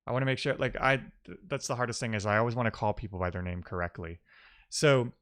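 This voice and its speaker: A man who streams live coding, chatting casually and musing out loud in loose fragments as he works. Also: on the low side, fast. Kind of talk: casual thinking-aloud coding stream chat.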